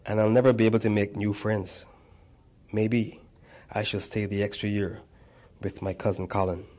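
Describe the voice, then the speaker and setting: Low, flat-toned man reading. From Barbados. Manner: measured reading with small stumbles and restarts. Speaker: narrator. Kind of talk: reading a personal memoir aloud.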